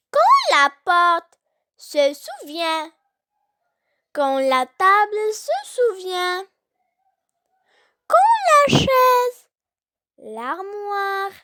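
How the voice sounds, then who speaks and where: Very high childlike voice reciting poetry slowly. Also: sharp tone, a little hiss or hum. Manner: deliberate, phrase-by-phrase delivery with long pauses. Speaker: poem reciter. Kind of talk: slow recited children's poem for learners.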